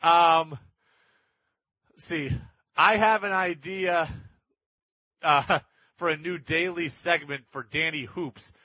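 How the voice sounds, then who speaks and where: A man's voice: a little high, slow pace, pauses often, pushed and bright, no echo, muffled recording. A man hosting sports talk radio, delivering opinionated monologues in an exasperated, emphatic, repetitive way.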